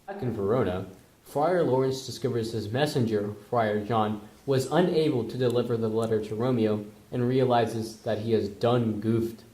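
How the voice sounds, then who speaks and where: A man's voice: mid-range voice, moderate pace, mellow. A man narrating an amateur puppet show retelling from a script. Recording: roomy echo.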